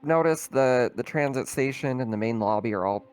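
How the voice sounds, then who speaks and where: A man's voice: medium pitch, moderate pace, a little mellow, clear, resonant tone. A man running a tabletop roleplaying game as game master, explaining informally and a little hesitantly.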